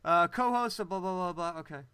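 A man's voice: high voice, a little fast, sharp tone, clear, resonant tone, no room sound. A man co-hosting a podcast, chatting casually and mocking what he reads out, laughing a lot. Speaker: podcast co-host. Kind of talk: casual chat.

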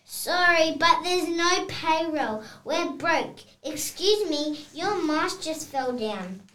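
A very high, bright little cowgirl acted by a child. The lines are read slowly as a play, in an echoey room with some noise. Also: expressive, full character voice. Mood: complaining. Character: plucky cowgirl girl, complaining child actor.

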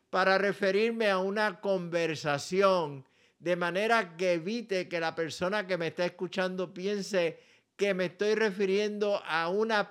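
A Puerto Rican pastor speaks with a smooth, resonant voice that sits somewhat high for a man. He takes his time, spelling things out slowly and deliberately. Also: fairly bright tone. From Puerto Rico. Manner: slow, deliberate, spells out contact details carefully. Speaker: pastor and ministry director. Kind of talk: scripted radio-style message.

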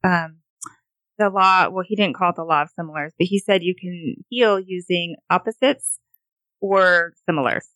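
A woman with a Montana accent, a guest homeopath, explaining in a steady way with a few fillers. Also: on the low side, moderate pace, no echo, low-quality recording. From Montana, USA. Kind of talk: explanatory interview answers.

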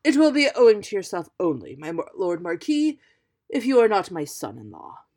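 A woman's voice: medium pitch, sing-song, moderate pace, a little mellow. A woman voicing a grand, genial king from a storybook, admiring and stately in an exclaiming manner.

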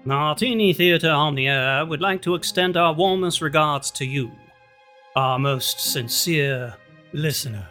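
A man working as a radio drama announcer, reading a formal, measured scripted sign-off. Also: a little high, bright, forceful tone, faint music behind.

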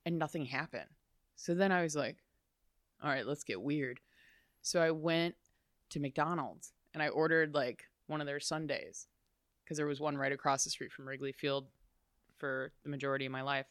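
A young adult woman talking as an interview guest, loud and chatty, laughing often. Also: low-pitched, normal speed, no room sound, studio quality. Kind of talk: casual interview chat.

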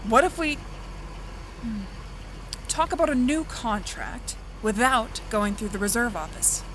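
A woman's voice: on the high side, expressive, measured pace, a bit bright, a little hiss or hum. A woman playing a practical, guarded farmer in an audio drama, speaking in terse, plain, naturalistic lines. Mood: guarded, reluctant. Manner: terse, plain-spoken, hedging politely. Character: practical, guarded woman farmer renting land, busy seeding.

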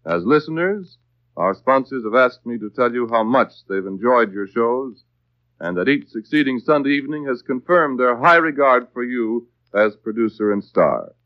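A man's voice: mid-range voice, lively intonation, moderate pace, dry recording, lo-fi sound. An American man working as a radio announcer, reading scripted copy in a formal, carefully enunciated 1940s style. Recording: dry, band-limited vintage radio broadcast.

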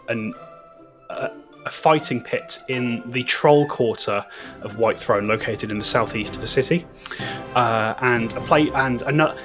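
Man, game master, stammering narration, mid pitch, faint music behind. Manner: stammering, repeats words, self-deprecating.

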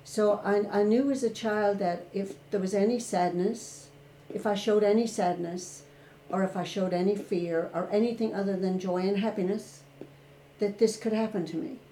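Older Irish woman, candid reflective guest, warm tone, roomy sound. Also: medium pitch, fairly even intonation, normal speed, slight background noise. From Ireland. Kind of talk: personal recovery story in a podcast interview.

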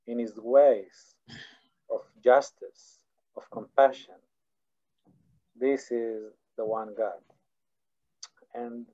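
Earnest Israeli man, halting English. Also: mid-range voice. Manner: earnest, halting, repeats words while searching for English. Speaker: guest scholar. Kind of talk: philosophical interview answers. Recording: dull lo-fi audio.

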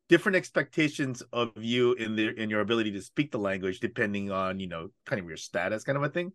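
A man with a bright, forceful voice co-hosts a casual chat. He probes with follow-up questions, and the sound is close and dry. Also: medium pitch, no echo. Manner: probing, asks follow-up questions. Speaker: podcast co-host.

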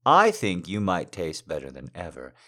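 A man playing a silver-tongued, adoring lover in an intimate erotic audio roleplay, teasing and gently commanding in drawn-out, breathy phrases. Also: deep voice, wildly swooping pitch, exaggerated voice. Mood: hushed, seductive. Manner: flowery, seductive, drawn-out phrasing with breathy whispers.

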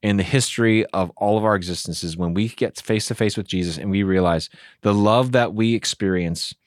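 A man's voice: on the low side, moderate pace, dry recording, clean studio sound. A man, a pastor, talking earnestly and with animation in a casual theology discussion. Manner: earnest, animated, acts out inner voices.

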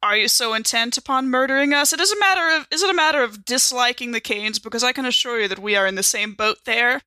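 A woman's voice: fairly high pitch, quick pace, pushed and bright, no echo. A woman playing a tabletop game, improvising playful in-character banter in a wry, theatrically wordy way.

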